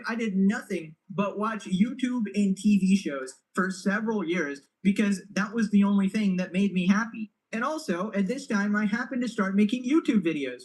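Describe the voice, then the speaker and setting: A man's voice: high voice, low-quality recording. A young man with an American-sounding accent, talking to camera as a YouTuber in a rambling, self-mocking way. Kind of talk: casual talk to camera.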